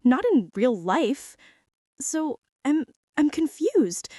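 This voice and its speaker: Woman acting a shy, high-voiced, nervous art girl. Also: lively intonation, no echo, studio quality. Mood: anxious, panicky. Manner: nervous, halting, rambling, soft-spoken. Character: shy, awkward, self-doubting teenage art girl. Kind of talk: girlfriend ASMR roleplay acting.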